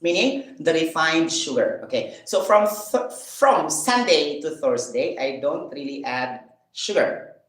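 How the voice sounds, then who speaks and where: A man's voice: a little high, animated, measured pace, a bit bright. Rambling man in an echoey room. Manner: rambling, self-questioning, frequent fillers and laughs. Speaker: vlogger. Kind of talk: casual vlog chat while eating.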